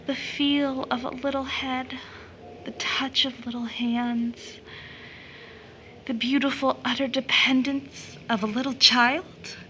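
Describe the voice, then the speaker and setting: Anguished young woman, high and piercingly bright. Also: level tone, slight background noise, full character voice. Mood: wistful, tender. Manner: impassioned, halting, swinging from hushed to fierce. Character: anguished, bitterly defiant young woman cursing God. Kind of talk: theatrical audio drama monologue.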